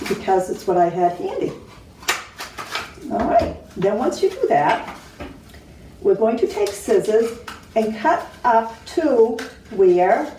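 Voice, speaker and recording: A woman's voice: mid-range voice, warm tone. A woman teacher giving simple step-by-step craft instructions to young children. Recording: roomy, distant mic, faint noise.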